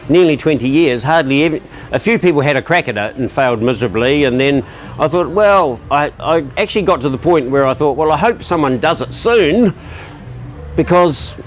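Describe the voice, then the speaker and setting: A man giving a relaxed, self-deprecating talk to a live audience. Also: on the high side, normal speed, faint music behind. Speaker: guest speaker.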